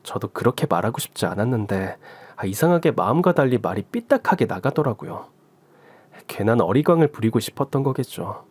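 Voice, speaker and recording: Calm man reading a story. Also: mid-range voice, brisk, breathy edge, dry recording. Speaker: story reader. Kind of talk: scripted first-person story narration.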